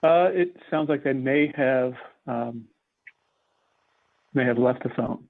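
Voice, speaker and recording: A man's voice: mid-range voice, takes it slowly. An American man moderating phone callers at a public meeting, talking in polite procedural language without a script. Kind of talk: polite procedural talk, unscripted. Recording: thin phone-like audio.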